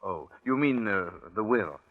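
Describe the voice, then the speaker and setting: A man acting a scheming, smooth-talking swindler in a 1940s radio suspense drama, telling his story in a confiding, polite way. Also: on the low side, lively intonation, unhurried. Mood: polite, probing. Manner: confiding first-person storytelling, polite in dialogue. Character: scheming, smooth-talking male swindler confessing his long-shot con. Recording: dull 1940s radio recording, music behind.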